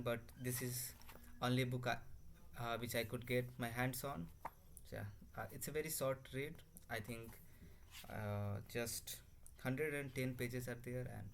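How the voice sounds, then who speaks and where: Young man from India, native Hindi speaker, halting casual talk, average pitch, laptop mic, faint room hum. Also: creaky. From India, Bihar. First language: Hindi or Bhojpuri. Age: young adult. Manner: hesitant, with many fillers and restarts. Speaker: language learner vlogger. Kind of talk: unscripted casual talk to camera. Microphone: laptop microphone.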